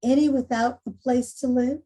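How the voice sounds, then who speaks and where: A woman's voice: high voice, steady tone, normal speed, mellow, slightly rough. A woman playing an earnest, determined school principal making a rousing speech in a screenplay table read. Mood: probing, earnest. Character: earnest, determined female school principal rallying staff and students.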